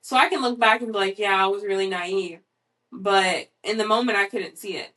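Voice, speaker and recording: Blunt young woman, bright voice, off-mic. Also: medium pitch, moderate pace, distant mic, low-quality recording. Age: 20s. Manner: blunt, candid, says she sounds monotone. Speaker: podcast co-host. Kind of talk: casual chat.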